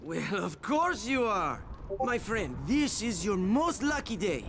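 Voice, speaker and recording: Very high-pitched, slippery peddler. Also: male voice, very animated, slow pace, fairly bright tone, a little hiss or hum, heavily acted. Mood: showy salesmanship. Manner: theatrical, wheedling. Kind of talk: video game cutscene dialogue.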